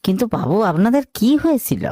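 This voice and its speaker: A woman's voice: mid-range voice, sing-song, brisk, slightly rough, dry recording. Female actor as a respectful village fisherman in a cartoon, dry recording. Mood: concerned. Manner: respectful, gentle. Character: humble male village fisherman, kindly.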